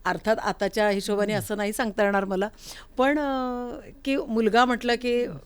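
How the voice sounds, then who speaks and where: Female interview host, fairly high voice, dry studio sound, conversational storytelling. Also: fairly even intonation, moderate pace, clear, resonant tone, no echo. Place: recording studio.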